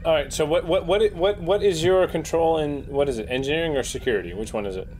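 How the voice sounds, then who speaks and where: A man's voice: a little high, fast, a little mellow. A man chatting eagerly as a player in a casual tabletop role-playing game, sometimes stumbling into his sentences. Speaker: player as starship captain. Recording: faint room noise.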